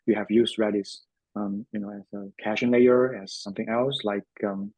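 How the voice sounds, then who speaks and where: A man's voice: on the low side, fairly even intonation, normal speed, darkish tone, dry recording, rough recording. A man hosting a tech webinar as a developer advocate, speaking casually with lots of fillers and restarts. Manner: hesitant, many fillers and restarts.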